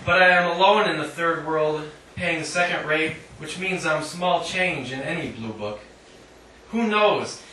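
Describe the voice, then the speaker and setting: A man's voice: a little high, a little slow, pushed and bright. A man reading poetry at a live reading, with a wry, sardonic manner and casual asides. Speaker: featured poet at a reading. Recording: roomy, distant mic, faint noise.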